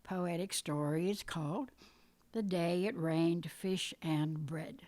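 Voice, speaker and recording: A woman reading her own scripted poetic story aloud in a measured, deliberate storytelling manner. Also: fairly low pitch, a little mellow. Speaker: poet reading own work. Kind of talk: dramatic poetry reading, scripted narration.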